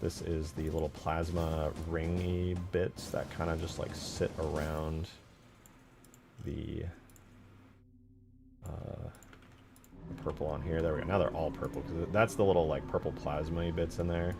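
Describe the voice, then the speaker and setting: Low-voiced man thinking aloud. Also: little pitch movement, a little slow, creaky, pauses often. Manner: low-key, informal, muttering to himself while working. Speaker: game modder streaming his work. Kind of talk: casual livestream commentary, thinking aloud. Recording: music behind the voice. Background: music.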